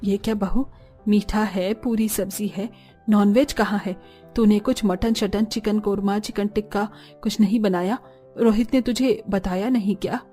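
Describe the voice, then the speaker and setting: A woman's voice: mid-range voice, steady tone, a little slow, warm tone, soft background music, voice pushed down, close to an everyday voice. A woman voicing a bossy, fussing middle-aged mother-in-law in a cartoon, demanding and coaxing.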